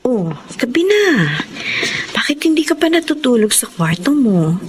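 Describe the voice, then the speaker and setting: A woman's voice: high voice, very animated, moderate pace, a crowd in the background. A woman playing a kindly, soothing aunt in a Filipino radio drama, tender and reassuring, with a Filipino accent. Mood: tender, concerned.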